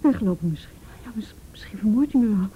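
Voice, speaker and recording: An actress playing a frightened, hesitant girl in a radio play, halting and repeating her words. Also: medium pitch, lively intonation, husky, narrow, tinny audio. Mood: fearful. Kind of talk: radio drama acting. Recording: thin band-limited radio play recording.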